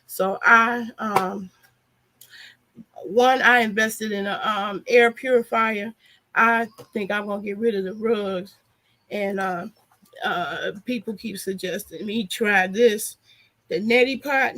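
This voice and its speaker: A hoarse, nasal woman vlogger chatting casually and frankly to her viewers in a loose, rambling way. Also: mid-range voice, steady tone, slow, pushed and bright. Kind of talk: casual chat to a live audience.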